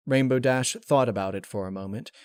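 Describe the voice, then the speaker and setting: A man's voice: mid-range voice, brisk, darkish tone, clear, resonant tone, no echo. Man narrating a story, very dry sound. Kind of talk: scripted narration of fan fiction.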